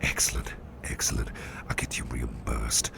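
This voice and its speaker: A man voicing a courteous, attentive businessman boss in soft, breathy ASMR roleplay, speaking gently and politely and repeating his phrases. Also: monotone, normal speed, noisy background, full character voice. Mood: obliging, pleased. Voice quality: very deep, gravelly, breathy near-whisper.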